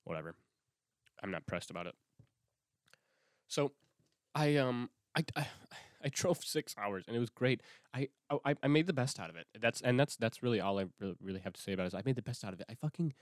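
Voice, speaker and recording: A man's voice: mid-range voice, animated, a little fast, no echo, clean studio sound. A young man hosting his own podcast, talking alone in a rambling, swear-heavy way with lots of restarts, sniffling from a cold. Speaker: podcast host. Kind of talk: casual solo podcast monologue.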